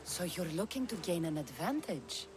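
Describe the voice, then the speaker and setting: A woman's voice: lowish voice, big pitch swings, normal speed, background noise. An actress playing a tough, skeptical young female mercenary in a video game, with a dry, teasing, matter-of-fact delivery. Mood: wry, curious. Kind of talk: video game cutscene acting.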